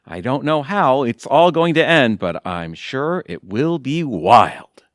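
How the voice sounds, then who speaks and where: A man acts out an exasperated, impulsive middle-aged husband in a comic radio play. His medium-pitched voice swings widely and theatrically. The sound is dry and close, without room echo. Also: sing-song, takes it slowly. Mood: wry, dramatic. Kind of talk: audio theatre comedy acting.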